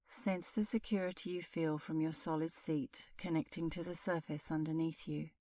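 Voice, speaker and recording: A middle-aged woman leading a guided meditation as an instructor, speaking in a calm, soothing, unhurried way with long pauses. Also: low-pitched, no echo, far from the mic.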